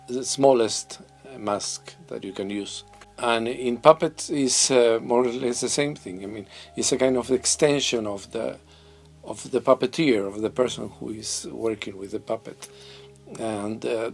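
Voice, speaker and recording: A middle-aged man from Peru, answering interview questions in a thoughtful, halting way, with many pauses and restarts, seated in his mask and puppet workshop. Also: mid-range voice. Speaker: theatre director and mask maker. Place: workshop full of masks and puppets, indoors.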